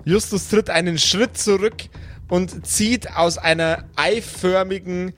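A man's voice: high-pitched, steady tone, slow pace, fairly bright tone, faint music behind. A man working as game master, improvising narration and chatting casually with his player.

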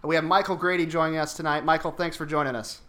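American male podcast host, fairly high voice with a bright, forceful edge, casual sports chat. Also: fairly even intonation, quick pace. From Indianapolis, United States.

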